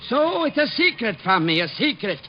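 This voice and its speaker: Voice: mid-range voice, sing-song, moderate pace. An actor in an old-time radio detective drama playing a cranky, suspicious boarding house manager who is curt and repeats every phrase. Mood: grumbling, suspicious. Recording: muffled noisy old radio recording.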